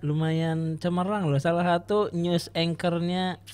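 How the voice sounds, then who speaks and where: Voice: medium pitch, flat delivery, measured pace, a little mellow, clean tone. A podcast host chatting informally, encouraging the guest and echoing what is said. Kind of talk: casual interview chat.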